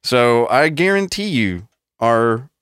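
A man's voice: mid-range voice, very animated, slow pace, fairly bright tone, clean tone, no echo, studio quality. A man co-hosting a casual podcast, rambling and profane, laughing often. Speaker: podcast co-host.

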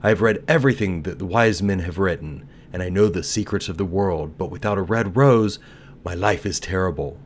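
A man's voice: sits low, a little fast, a little hiss or hum, natural voice. A man voicing a lovesick young male student in a theatrical, lamenting storybook manner. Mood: despairing, yearning.

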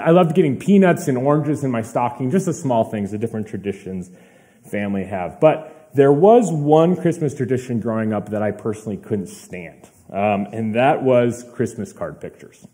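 Hoarse young man preaching casually. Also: medium pitch, animated, normal speed, dark tone. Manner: casual, chatty preaching with fillers and asides. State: slightly hoarse. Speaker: pastor. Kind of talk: sermon.

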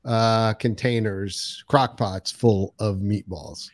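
A man's voice: on the low side, steady tone, takes it slowly, dry recording, studio quality. A man co-hosting a podcast in casual chat, rambling and jokey as he talks. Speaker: podcast co-host.

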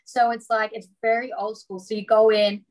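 An Australian woman answering as a guest in a casual interview, relaxed and rambling, filling her talk with "like". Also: on the high side, moderate pace. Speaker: boxer, guest.

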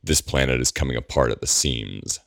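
A man's voice: very deep voice, moderate pace, creaky, a bit bright, no room sound, clean studio sound, exaggerated voice. A man doing a growling, imperious male ruler. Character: commanding, growling male lord regent.

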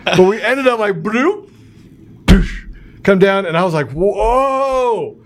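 A middle-aged man telling personal stories in a casual, colloquial talk-show chat, animated in his delivery. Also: high voice, slow pace. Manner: animated, colloquial storytelling with sound effects.

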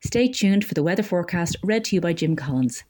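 A woman's voice: lowish voice, lively intonation, brisk, mellow. A woman reading scripted local news as a community radio newsreader.

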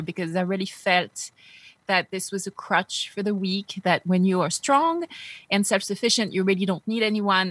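Bright-voiced French woman. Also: lowish voice, no room sound. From France. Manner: lively, laughs easily. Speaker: podcast guest. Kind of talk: casual interview chat.

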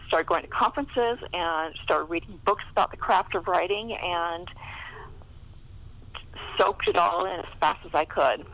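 A woman's voice: fairly low pitch, fairly bright tone, rough recording. A middle-aged American woman chatting casually and telling anecdotes as an interview guest. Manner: chatty, laughs often. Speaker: interview guest, historical fiction author.

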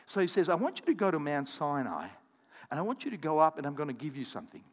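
A man preaching a sermon as pastor, calling out to the congregation and breaking into quick asides and acted-out quotes. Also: fairly high pitch. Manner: animated preaching with audience call-outs and asides. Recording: long hall reverb.

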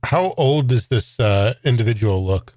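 A man's voice: medium pitch, animated, normal speed, a little mellow, dry recording. A man playing a tabletop game, casually chatting and describing what his character does.

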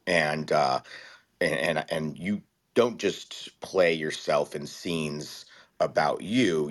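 A man, a guest, telling his story in a laid-back, rambling way with many fillers and restarts. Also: extremely low pitch. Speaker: guest, writer-actor-producer. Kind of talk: casual interview storytelling.

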